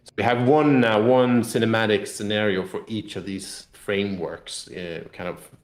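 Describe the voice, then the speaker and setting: A man speaking as a game publisher in a casual interview, explaining things haltingly and often repeating words. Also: on the low side, fairly even intonation. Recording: echoey room.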